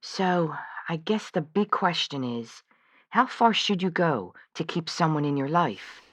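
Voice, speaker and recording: A woman's voice: low voice, animated, unhurried, no echo. A woman playing an earnest, lovelorn and anxious caller in a radio drama, speaking hesitantly and with open feeling. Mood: nervous. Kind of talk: scripted audio drama.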